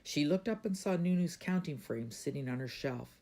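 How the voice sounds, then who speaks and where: A man's voice: fairly high pitch, animated, normal speed, darkish tone. A man reading his own children's book aloud as a storybook narrator.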